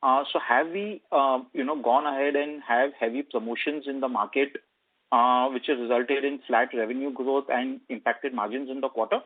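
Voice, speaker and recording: A man's voice: mid-range voice, fairly even intonation, normal speed. Indian analyst guy asking polite questions on a conf call, mid voice, phone quality. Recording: narrow telephone conference line.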